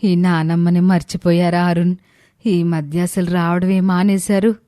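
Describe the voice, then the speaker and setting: Lady doing an old grandma, lowish voice, tender and plaintive, dry sound, family storybook. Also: level tone, measured pace, mellow, no echo, natural voice. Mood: happy, tender. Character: lonely, affectionate elderly grandmother longing for family unity.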